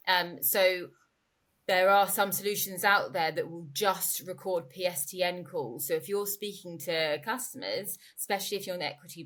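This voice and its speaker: Lower-voiced woman explaining in an interview. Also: a bit bright. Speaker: interviewee, company growth director. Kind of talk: informal expert explanation in an interview.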